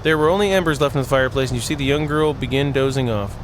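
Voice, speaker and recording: A man reading a visual novel aloud as a gameplay host, playful and expressive in his reactions. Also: mid-range voice, quick pace, noisy background. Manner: expressive, playful, exclaims and laughs.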